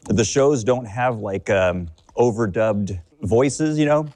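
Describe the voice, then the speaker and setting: A man's voice: on the low side, takes it slowly, darkish tone. A man from Japan hosting a dinner, chatting casually and telling stories from his childhood. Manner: chatty, storytelling, laughs while talking. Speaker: host. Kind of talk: casual chat over dinner. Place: living room.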